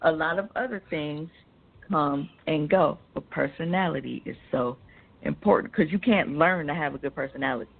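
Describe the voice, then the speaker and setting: A woman's voice: deep voice, normal speed, creaky. A woman in her thirties with an American accent, chatting casually in a blunt, profane and emphatic way. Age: 30s. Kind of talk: casual chat. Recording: thin phone-like audio.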